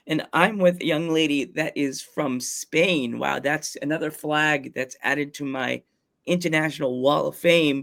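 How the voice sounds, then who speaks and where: A man's voice: a little high, gravelly, fairly bright tone. A man hosting a wrestling podcast interview, enthusiastic and often restarting his questions. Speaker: podcast host. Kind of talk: casual interview.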